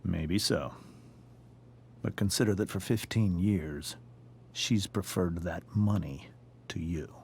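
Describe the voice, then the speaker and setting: A man playing a stern, protective middle-aged father in a video game drama, speaking gravely and deliberately. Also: low voice, a little mellow, slight background noise. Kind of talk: dramatic game cutscene acting.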